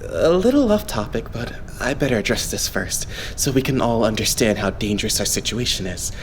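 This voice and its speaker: A man voicing a polite, composed young idol leader in an anime fandub, explaining things in a measured way. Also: mid-range voice, sing-song, slight background noise, lightly acted. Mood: calm explaining. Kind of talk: anime game fandub acting.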